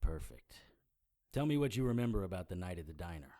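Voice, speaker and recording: An actor playing a wry, hard-boiled supernatural investigator in an audio drama, with deadpan, sardonic noir delivery. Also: male voice, deep voice, fairly quick. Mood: teasing, dry.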